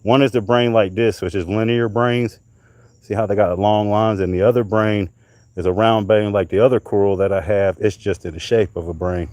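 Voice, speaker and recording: Rambling low-voiced man. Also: steady tone, normal speed, a little mellow, dry recording. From North Carolina, US. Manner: rambling, loose, swears casually. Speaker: livestream host. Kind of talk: casual livestream show-and-tell. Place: outdoors at night in summer heat.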